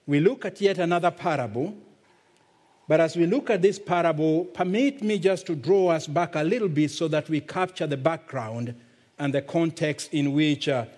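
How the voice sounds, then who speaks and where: A man with a Kenyan accent, a visiting pastor preaching a sermon slowly and deliberately, drawing out words for emphasis. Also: on the high side, a little mellow.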